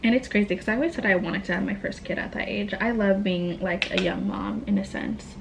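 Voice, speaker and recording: Woman, late twenties, from Tacoma Washington, casual makeup chat, gravelly fry, noisy room behind. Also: mid-range voice, fairly even intonation, a little fast. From Tacoma, Washington, USA. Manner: chatty and candid, heavy on "like", slangy and profane. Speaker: beauty vlogger. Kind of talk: casual get-ready-with-me Q&A chat.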